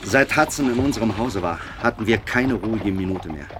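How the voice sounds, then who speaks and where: An actor playing a distraught, bitter young gentleman in a radio drama, speaking urgently and with grievance. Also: on the low side, expressive, fairly quick, natural voice. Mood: bitter, aggrieved. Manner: urgent, aggrieved. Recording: clear background ambience noise.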